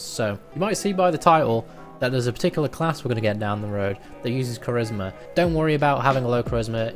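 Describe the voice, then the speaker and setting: A man with a hoarse voice, casually walking through a game build step by step, with plenty of fillers. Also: medium pitch. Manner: chatty, explains step by step with many fillers. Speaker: gaming video creator. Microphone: desk microphone. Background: background music.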